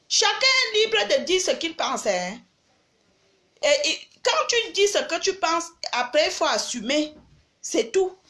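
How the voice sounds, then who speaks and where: A high-voiced older woman with a cold talks to her followers in a live stream. She scolds and lectures with firm emphasis, often repeating a point to drive it home. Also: a bit bright. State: has a cold. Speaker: livestream host. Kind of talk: live video chat with followers.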